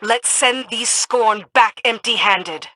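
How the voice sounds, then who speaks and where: An actress playing a tough, sardonic military woman in a sci-fi game, brisk, blunt and no-nonsense. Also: a little high, bright, forceful tone, full character voice. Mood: brisk, businesslike. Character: tough, sardonic female military second-in-command to a queen. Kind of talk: video game voice acting.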